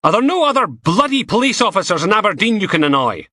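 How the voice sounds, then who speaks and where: A coarse, growling woman inspector with a crude mouth, as a male narrator plays her. Her voice rides high and swings all over, bright and forceful against a dry, close sound. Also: big pitch swings, fast talker, no room sound, exaggerated voice. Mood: brash. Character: gruff foul-mouthed middle-aged woman police inspector. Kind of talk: audiobook character voice.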